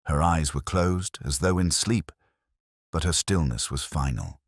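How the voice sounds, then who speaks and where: A man's voice: extremely low pitch, measured pace, no room sound, clean studio sound. A man reading a mystery story as an audiobook narrator, in a dramatic scripted style.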